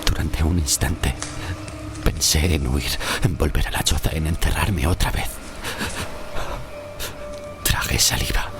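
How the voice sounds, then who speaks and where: An actor from Spain playing a frightened shipwrecked sailor of centuries past, telling his horror tale gravely and haltingly in an audio drama. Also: rumbling low, noisy background. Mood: tense, fearful. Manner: grave, halting, suspenseful delivery. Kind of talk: dramatic first-person horror audio-fiction narration.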